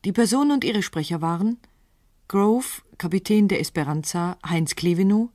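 A woman's voice: fairly low pitch, moderate pace, a little mellow, dry recording. Formal woman radio announcer, dry sound. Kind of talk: formal scripted cast announcement. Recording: dry 1950s radio studio.

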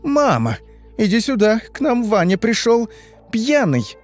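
A man voicing a shrill, domineering young woman, a cold and stern sister who cuts others off, in an audiobook story. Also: very animated, fast talker, a little mellow, faint music behind. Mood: shrill, yelling.

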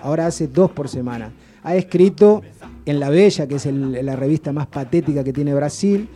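A man's voice: fairly high pitch, moderate pace, warm tone. A man chatting on a radio show, telling rambling stories full of restarts. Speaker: radio guest presenting authors. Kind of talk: casual radio chat. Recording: radio studio with faint background music.

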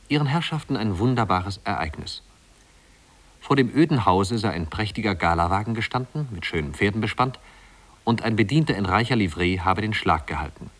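A man narrating a literary tale as the scripted storyteller of a 1950s radio play. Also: lowish voice.

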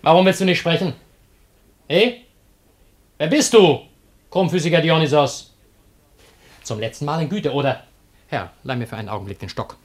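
Cheeky, blunt ancient servant. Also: male voice, a little high, expressive, normal speed, pauses often. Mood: impatient, threatening. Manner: mocking, insolent, blunt. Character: cheeky, coarse, loyal servant of ancient Athens. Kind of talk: classic German radio play acting.

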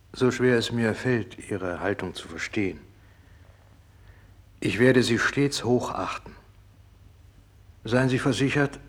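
Slow, husky, formal doctor. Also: male voice, on the low side. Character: reluctantly admiring, loyal male fellow doctor, formal and grave. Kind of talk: 1960s German radio drama acting.

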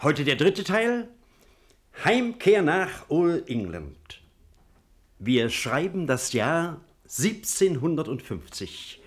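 A man's voice: on the high side, big pitch swings, slow pace, husky, full character voice. A man announcing a radio drama in a theatrical, scripted style.

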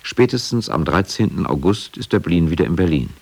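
A man with a low voice narrates a radio documentary. His delivery is scripted, sober and measured, setting out facts plainly. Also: lively intonation, creaky, darkish tone, slightly rough.

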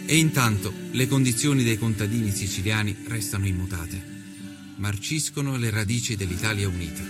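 Guy acting as solemn old-time journalist narrating a documentary, lowish voice, music clearly behind him. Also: lightly acted. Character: grave 19th-century Italian journalist narrating his inquiry. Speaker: voice actor in a documentary. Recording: voice mixed over prominent music.

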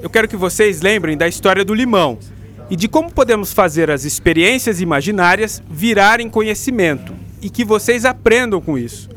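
An actor playing a patient, enthusiastic school teacher with a Brazilian accent, lecturing in a radio drama. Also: male voice, sits high, lively intonation, a little fast, a bit bright, slight background noise. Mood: instructing, reassuring. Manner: didactic, explanatory.

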